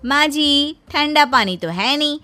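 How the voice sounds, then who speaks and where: A woman's voice: high voice, animated, a little slow, bright, forceful tone, soft background music, exaggerated voice. A woman doing a cheeky lazy daughter-in-law. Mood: dismissive. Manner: rude, dismissive, mocking.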